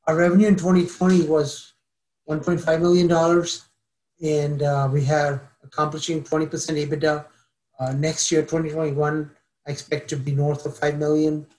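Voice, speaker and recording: A man, a startup founder, pitching his company to investors, halting with many fillers and restarts. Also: flat delivery, a little mellow, low-quality recording.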